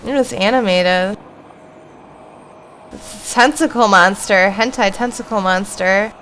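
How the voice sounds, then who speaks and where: A woman's voice: medium pitch, a little slow, a bit bright, a little hiss or hum, low-quality recording. Woman streamer reading a horror game aloud. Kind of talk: reading a horror visual novel aloud with casual asides. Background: faint music.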